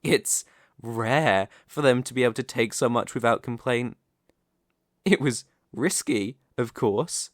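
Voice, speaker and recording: A dominant Demon King played by a man speaks at a slow, deliberate pace in a mid-range male voice. He is smug, mocking and theatrical, coaxing his listener like a villain sure of winning. It is an ASMR roleplay monologue, recorded close and very dry. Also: mid-range voice, expressive, dry recording, natural voice. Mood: cynical, taunting.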